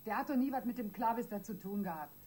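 Actress as defiant female suspect, radio crime play: mellow timbre, dismissive delivery, faintly noisy recording. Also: on the high side, fast, natural voice. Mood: defiant, guarded. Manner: curt, dismissive. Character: defiant, streetwise woman under police questioning. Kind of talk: German radio crime drama acting.

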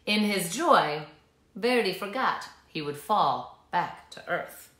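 A woman's voice: lowish voice, expressive, slow pace, a bit bright. A woman in her thirties reading a children's story aloud in a dramatic, emphatic way in a living room. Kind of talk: expressive children's read-aloud. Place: small living room, indoors. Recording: echoey room sound.

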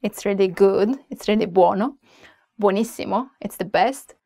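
A woman's voice: mid-range voice, normal speed, dark tone, no room sound. Playful Italian woman teaching vocabulary, dry sound. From Italy. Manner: chatty, playful, switches between English and Italian example sentences. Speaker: language teacher. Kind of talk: on-camera language lesson. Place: small indoor room, plain wall or flag backdrop. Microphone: camera framed head and shoulders.

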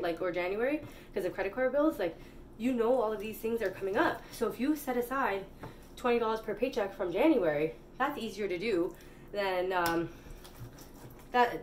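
A woman in her thirties chatting to the camera in a casual, slangy, rambling way as she cooks in a home kitchen. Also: mid-range voice. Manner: candid, slangy, conversational with some swearing. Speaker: home cook and vlogger. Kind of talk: casual rambling chat to camera while cooking. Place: small home kitchen, indoors. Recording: home camera audio with clear background noise.

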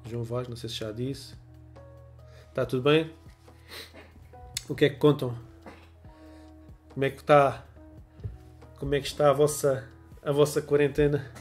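Chatty host in his 40s, European Portuguese accent, a cold in his voice, mid pitch, faint music underneath. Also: level tone, measured pace, long pauses, darkish tone. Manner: chatty, laughs often, greets viewers by name. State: has a cold. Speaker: photography livestream host. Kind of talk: casual livestream chat reading viewer comments. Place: small home room with desk lamp.

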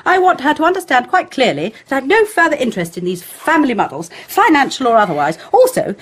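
An actress in a radio play as a sharp, embittered English schoolmistress who lectures disapprovingly in clipped phrases. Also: female voice, high voice, very animated, fast, slightly rough, full character voice. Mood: indignant. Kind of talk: radio drama acting.

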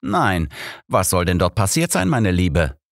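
Audiobook role: shrewd elderly gentleman detective, polite and measured, male narrator, dry sound. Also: sits low, big pitch swings, no echo, exaggerated voice. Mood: composed. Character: old male detective, shrewd, courteous, composed. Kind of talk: audiobook character voice.

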